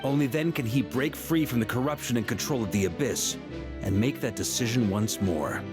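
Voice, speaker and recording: An actor playing a confident knight leader who explains things in a measured, authoritative way. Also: male voice, mid-range voice, close to an everyday voice. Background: game music.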